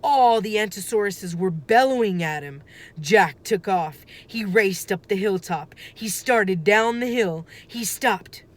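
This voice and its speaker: A woman reading a children's story aloud like a teacher, with deliberate, dramatic phrasing and emphasis. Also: mid-range voice, lively intonation.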